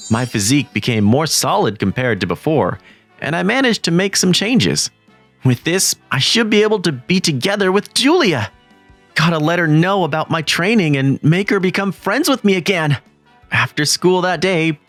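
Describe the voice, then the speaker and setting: An actor playing an earnest, eager teenage schoolboy who narrates and leads a manga voice-over. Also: male voice, fairly high pitch, a bit bright. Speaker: narrator and lead.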